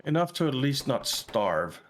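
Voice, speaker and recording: A Danish man chatting casually with a friend while playing a game together, relaxed and hesitant, with lots of fillers. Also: medium pitch, unhurried, slight background noise. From Denmark. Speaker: co-op gameplay commentator. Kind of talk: casual gaming chat.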